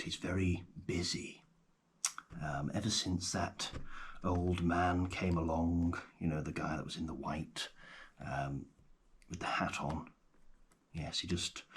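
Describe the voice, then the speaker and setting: Genial male paleontologist, acted by a man, low-pitched, hushed ASMR roleplay delivery, hesitant and gentle, faint noise behind. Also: heavily acted. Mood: hushed, absorbed. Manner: unhurried, hesitant, gently delighted. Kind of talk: ASMR roleplay, soft-spoken and often whispered.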